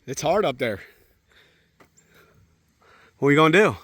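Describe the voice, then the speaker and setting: A man's voice: on the high side, sing-song, unhurried, fairly bright tone. A man talking casually and encouragingly as a father to a young child, outdoors at a park. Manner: encouraging, informal, addresses child as man and bud. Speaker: father and vlogger.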